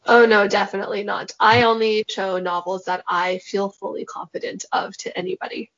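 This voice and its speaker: A woman author whose first language is Mandarin, answering interview questions in a thoughtful, measured, reflective way. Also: mid-range voice, steady tone, a bit bright, highs cut off.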